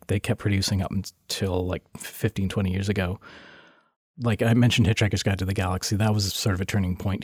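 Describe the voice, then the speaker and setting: A man being interviewed, talking casually and hesitantly with many pauses and restarts. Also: low voice, darkish tone, no room sound, clean studio sound. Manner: hesitant, lots of uhs and restarts. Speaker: interview guest, sound designer.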